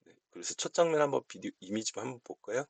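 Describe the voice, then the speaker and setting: A man giving a casual, unscripted software walkthrough, explaining politely step by step. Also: medium pitch, expressive, quick pace, vocal fry, husky, no room sound. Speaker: software tutorial presenter.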